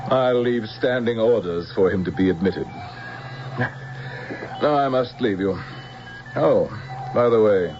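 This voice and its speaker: An actor playing a suave, soulless, threatening general scheming for power in a radio drama, speaking with silky formality. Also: male voice, on the low side, lively intonation, takes it slowly, pauses often, slight background noise. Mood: suspicious. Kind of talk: 1970s radio drama acting. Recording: muffled vintage radio recording with music.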